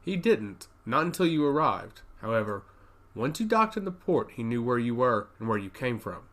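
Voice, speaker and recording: A man voicing a brisk, self-assured young woman priest in a fantasy audiobook, formal and matter-of-fact. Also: medium pitch, very animated, a bit bright.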